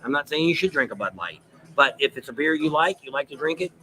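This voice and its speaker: Midwest guy rambling his opinions on a live show, bright kinda high voice. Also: quick pace, poor audio. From United States, near Saint Louis. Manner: rambling, repeats himself, animated opinions. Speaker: show host. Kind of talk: casual opinionated chat.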